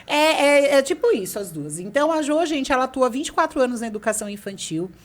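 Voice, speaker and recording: A woman hosting a podcast in relaxed, casual chat. She talks in a bubbly, chatty way and laughs easily. Her voice sits a little high. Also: quick pace, a crowd in the background. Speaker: podcast host.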